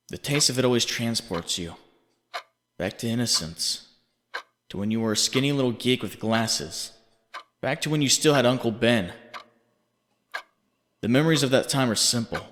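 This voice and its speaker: A man playing a haunting inner voice, narrating in the second person with deliberate, accusing, dramatic delivery. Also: mid-range voice, lively intonation, a bit bright, natural voice. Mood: nostalgic, solemn. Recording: long reverb, faint background noise.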